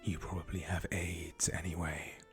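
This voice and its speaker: A man's voice: low voice, flat delivery, moderate pace, faint music behind, lightly acted. A man reading the part of an angry, foul-mouthed teenage goth girl in an indignant, melodramatic way.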